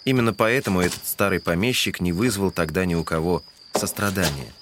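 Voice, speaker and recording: A man's voice: deep voice, fairly quick, noisy background, close to an everyday voice. An actor playing a wry townsman who narrates a literary audio drama. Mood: wry, storytelling. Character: storytelling townsman, gossipy and wry. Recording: music behind the narration.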